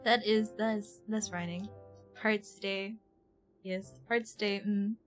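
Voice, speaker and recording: A woman livestreaming a game with casual, expressive, giggly chatter, often repeating herself. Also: medium pitch, slow. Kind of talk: casual chatty stream commentary. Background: faint game music.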